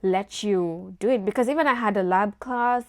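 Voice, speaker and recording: A woman's voice: mid-range voice, moderate pace, darkish tone. A young woman from Kenya, an engineering student, chatting casually as a guest and filling her talk with "like" and "you know". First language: not English; English one of her first languages. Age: young adult. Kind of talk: casual conversation.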